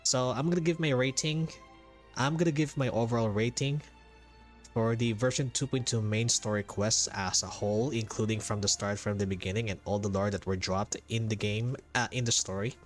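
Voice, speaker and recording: A man with a fairly low voice chats casually as a game streamer. He talks in a halting way and often repeats a phrase to stress it. Faint music plays behind him. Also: steady tone. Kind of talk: casual livestream commentary and reaction.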